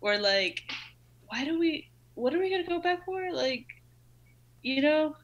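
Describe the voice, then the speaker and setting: A woman's voice: sits high, animated, unhurried, dull audio. A woman of thirty telling a story casually and enthusiastically as a podcast guest, in very informal speech. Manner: informal, enthusiastic, quotes own past thoughts. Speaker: podcast guest, business owner.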